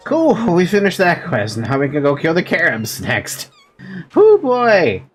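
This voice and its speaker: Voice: mid-range voice, huge pitch swings, a little slow, faint music behind. A game streamer chatting casually and playfully with viewers in a rambling way while playing. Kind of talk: casual livestream chat over gameplay.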